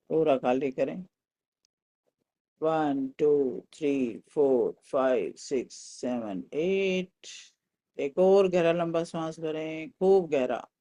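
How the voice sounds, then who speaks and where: Slow-paced Indian man guiding meditation. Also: fairly high pitch. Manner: calm, soothing, instructing in short phrases with pauses. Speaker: guided meditation teacher. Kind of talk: guided meditation instruction.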